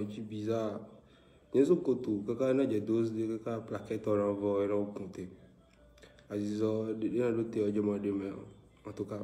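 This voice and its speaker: A man with a West African French accent and a head cold hosts a casual live stream and calls out greetings to viewers. His voice is low, dark and mellow, with a flat delivery. The echoey room makes it sound roomy. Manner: loud, repetitive greetings and shout-outs to viewers. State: congested with a cold.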